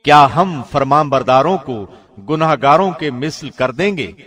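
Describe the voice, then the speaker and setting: A man reads a translation of scripture in a formal, scripted style. His voice sits somewhat high, and he speaks with solemn, measured, carefully articulated phrasing. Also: a bit bright. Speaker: translation reader, professional radio host.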